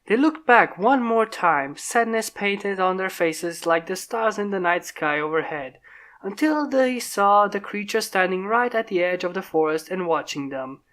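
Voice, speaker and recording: A woman's voice: mid-range voice, expressive, unhurried, a bit bright. A woman steadily narrating a fan story aloud. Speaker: fan fiction reader.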